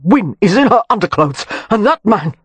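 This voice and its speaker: Reader as wry sarcastic gent, breathy. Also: male voice, high voice, sing-song, normal speed, fairly bright tone, dry recording, voice pushed up, exaggerated voice. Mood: sardonic. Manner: dry, sarcastic. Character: wry, sardonic gentleman.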